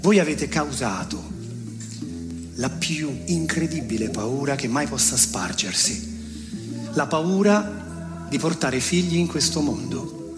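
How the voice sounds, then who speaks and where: A man's voice: fairly high pitch, animated, takes it slowly, pauses often. A man reciting theatrically as a defiant young accuser, declaiming with insistent repetition. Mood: bitter, condemning. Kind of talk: theatrical spoken-word recitation. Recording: long hall reverb, music clearly underneath.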